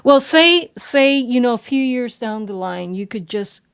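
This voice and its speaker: A woman's voice: on the high side, lively intonation, unhurried, vocal fry, clear, resonant tone. A woman co-hosting a casual discussion, repeating words as she builds up her questions and points.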